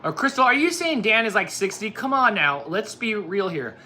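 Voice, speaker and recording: Male streamer, 40s, bright edgy tone, casual off-the-cuff chat, noticeable background noise. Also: sits high, big pitch swings, normal speed. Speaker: livestream host. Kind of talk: casual chat with live viewers.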